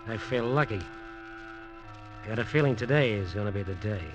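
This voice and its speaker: An actor playing a scheming, embittered hustler in an old radio drama, talking in hard-boiled slang. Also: male voice, lowish voice, moderate pace, close to an everyday voice. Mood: bitter, scornful. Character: scheming, embittered hustler narrating his island venture. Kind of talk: 1940s-50s radio drama acting and first-person narration. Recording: old radio broadcast recording, music behind.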